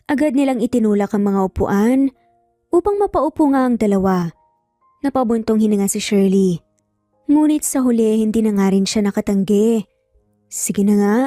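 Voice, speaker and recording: A Filipino woman reads a dramatic story as its narrator. Her voice is fairly high and soft-edged, recorded close and dry over faint background music. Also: lively intonation, moderate pace, no room sound.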